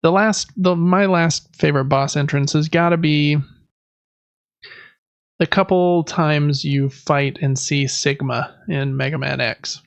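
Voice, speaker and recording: A man's voice: a little high, steady tone, slow pace, gravelly, no echo. A man hosting a podcast in casual chat, rambling a little and correcting himself as he goes. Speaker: podcast host. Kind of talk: casual chat about video and board games.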